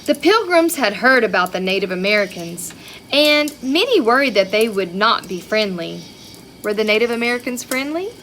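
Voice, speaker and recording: A woman reading a picture book aloud to young children in an expressive way, with chatty asides and questions to her listeners. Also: a little high, moderate pace, a little hiss or hum. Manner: expressive, child-directed, with rhetorical questions and exclamations.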